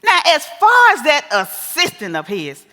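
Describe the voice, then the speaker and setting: A woman acting a sassy, scolding, wise older American mother in a stage play, with an animated, folksy, preachy delivery. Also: high-pitched, a little slow, exaggerated voice. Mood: sassy, teasing. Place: live stage in a theater. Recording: echoey theater hall with long reverb.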